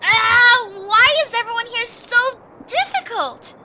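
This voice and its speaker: A woman's voice: extremely high pitch, expressive, slow pace, heavily acted. A woman voicing a small, timid, earnest young adventurer in an audio drama. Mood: exasperated. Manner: hesitant, earnest. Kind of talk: cartoonish audio drama acting. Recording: faint background noise.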